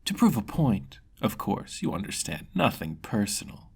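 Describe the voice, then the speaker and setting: Man voicing a menacing, husky angel gang boss. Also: lowish voice, big pitch swings, heavily acted. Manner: deliberate, mock-polite threats.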